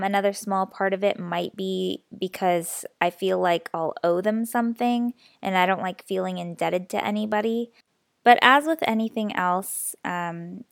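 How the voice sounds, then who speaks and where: Woman podcaster, young adult, slightly raspy, stuffy-nosed, gravelly edge, dry close sound. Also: medium pitch, no room sound. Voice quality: a little raspy. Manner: candid, self-deprecating, chatty. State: slightly sick, stuffy nose. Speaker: podcast host. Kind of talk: personal storytelling podcast.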